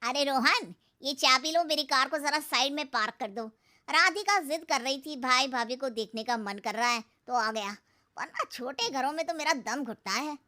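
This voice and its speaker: A woman's voice: high-pitched, moderate pace, sharp tone. A woman voicing an arrogant, condescending rich young man who belittles his in-laws in a cartoon story. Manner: condescending, mocking. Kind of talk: Hindi cartoon moral-story dialogue.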